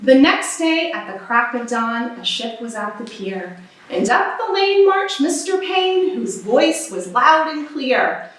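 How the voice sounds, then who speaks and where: A woman reading a picture book aloud for children in an expressive, animated storytelling manner. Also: sits high, takes it slowly. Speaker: storybook reader. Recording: very echoey room, distant microphone.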